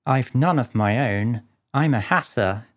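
Storybook role: a woman as a humble, nervous man, low-pitched against her own voice, slow and trembling, pleading his case. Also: animated. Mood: meek, nervous.

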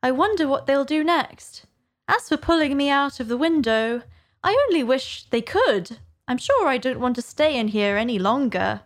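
A woman's voice: sits high, animated, normal speed, pitched above the performer's own voice. Woman playing a prim, curious young girl. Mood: determined, musing. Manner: prim, wondering, self-scolding. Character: curious young girl, musing and chatting to herself.

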